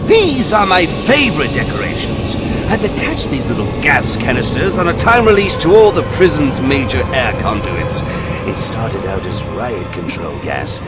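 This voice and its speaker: An actor playing a theatrical, mocking clown villain with gleeful menace in a video game. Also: male voice, medium pitch, big pitch swings, moderate pace, loud music behind the voice, close to an everyday voice. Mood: gloating, menacing. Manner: theatrical, mocking, playful wordplay.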